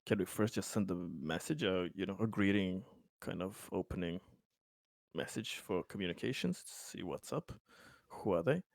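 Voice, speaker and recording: A man playing a tabletop game, talking through his character's actions in a deliberate way. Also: sits low, lively intonation, creaky, dry recording.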